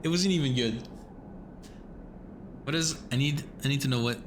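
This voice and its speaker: A man's voice: medium pitch, normal speed, noisy background. A man streaming a game, reading the text aloud and chatting in a rambling, self-mocking way, with some swearing.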